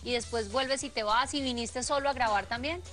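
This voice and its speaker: A woman's voice: fairly high pitch, moderate pace, a bit bright. A woman with a Colombian accent hosting a casual morning show interview in a TV studio. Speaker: talk show host. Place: TV studio living-room set. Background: music.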